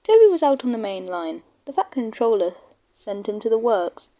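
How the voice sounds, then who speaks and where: A woman's voice: on the high side, big pitch swings, moderate pace, mellow. A woman narrating a children's storybook from a script.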